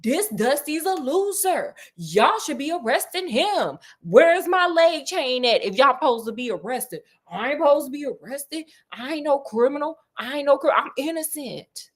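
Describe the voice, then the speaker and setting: Woman as an indignant male convict, defiant, pitched up. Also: animated, normal speed, hardly any pauses. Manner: protesting, defiant.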